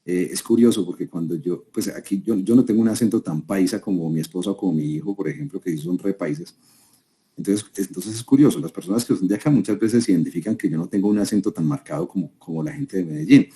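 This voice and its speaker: A man's voice: sits low, quick pace, dark tone. A man from Colombia with a Colombian accent, giving a conversational talk to a live audience and asking them rhetorical questions. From Colombia, Quindío. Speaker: speaker at a business seminar.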